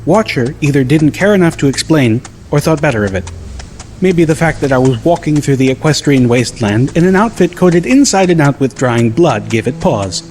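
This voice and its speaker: A man narrating an audiobook in the first person, measured and dryly wry. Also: a little high, animated, darkish tone, slight background noise. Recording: clear music bed behind the voice.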